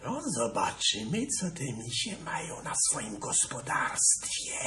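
Sly thief fox for a kids play, super slow, cackly, whispery then shouty, echoey and far off. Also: mid-range voice, big pitch swings, heavily acted. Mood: gleeful, sneaky. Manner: drawn-out, cackling, switching between whispers and shouts. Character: sly gleeful thieving fox, sneaking and mocking. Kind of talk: theatrical children's stage acting. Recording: echoey room, distant mic, background noise.

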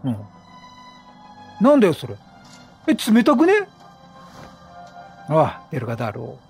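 A man's voice: on the high side, wildly swooping pitch, a little slow, vocal fry. A man doing casual let's play commentary, playful and reactive, bantering with the characters in slang. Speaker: gaming streamer. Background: game music.

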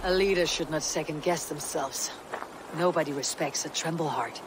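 A woman's voice: deep voice, normal speed, noisy background, close to an everyday voice. An actress voicing a young, brash and determined female Viking warrior leader, blunt and resolute, in a video game. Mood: confident. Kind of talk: video game cutscene acting.

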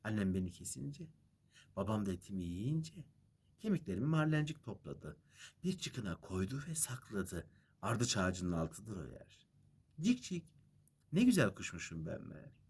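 A man's voice: lowish voice, big pitch swings, normal speed, a little mellow, breathy edge, heavily acted. A man voicing a proud magical bird from a fairy tale, sing-song in verse and firm in bargaining. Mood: sing-song, plaintive.

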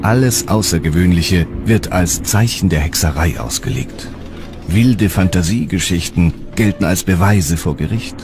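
A man's voice: low-pitched, lively intonation, normal speed, background noise. A man reading scripted narration for a documentary.

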